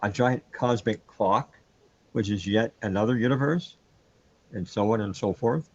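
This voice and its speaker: Troubled, self-doubting man played by a male actor, brooding and hesitant, husky delivery, muffled recording, surreal radio drama. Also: lowish voice, a little slow. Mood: bewildered. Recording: dull, lo-fi audio.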